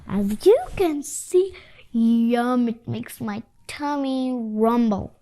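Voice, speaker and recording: A child reading a story aloud, haltingly, and adding simple comments about the pictures. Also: medium pitch, dark tone, slight background noise. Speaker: story reader. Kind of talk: read-aloud storytelling with explanatory asides.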